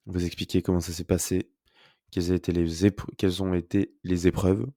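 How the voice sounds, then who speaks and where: A man's voice: low voice, level tone, moderate pace, mellow, no echo, clean studio sound. A young man hosting a casual solo podcast from home, talking through a blocked nose with a sore throat, chatty and upbeat with many fillers. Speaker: podcast host. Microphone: close podcast mic.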